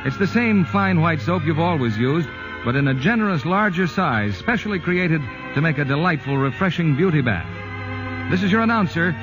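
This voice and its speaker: Male 1940s announcer over clear music. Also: fairly high pitch, animated, moderate pace. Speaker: radio announcer. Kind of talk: 1940s radio announcing, scripted. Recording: dull old radio broadcast over music.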